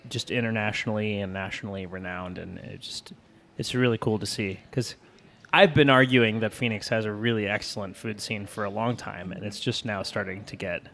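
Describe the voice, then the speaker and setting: A man's voice: on the low side, vocal fry, a bit bright. A man co-hosting a podcast, chatting casually and enthusiastically with a joking streak.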